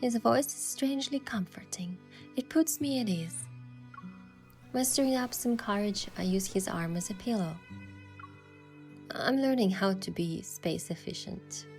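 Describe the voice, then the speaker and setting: A woman's voice: medium pitch, measured pace, a little mellow, natural voice. A woman playing a thoughtful, affectionate young heroine, narrating a romance game story in the first person with a reflective manner. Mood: gentle, reflective. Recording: music behind the voice.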